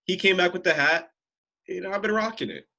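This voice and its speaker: A man's voice: high voice, fairly quick, vocal fry, sharp tone. A man co-hosting a casual comedy podcast, talking in a laid-back way and tossing in "bro" often. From Los Angeles. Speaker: podcast co-host.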